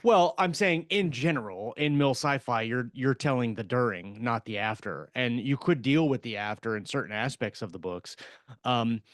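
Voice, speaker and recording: A man co-hosting a podcast, speaking in a thoughtful, steady way and often agreeing with the others. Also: mid-range voice, normal speed, no room sound, clean studio sound. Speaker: podcast co-host. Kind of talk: casual panel discussion.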